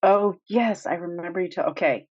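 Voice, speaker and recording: A woman's voice: on the low side, a little fast, a little mellow, no room sound. A woman co-hosting a podcast in casual conversation, thoughtfully affirming and rephrasing what she hears, on dull audio. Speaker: podcast co-host asking questions. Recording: dull, dry, band-limited audio.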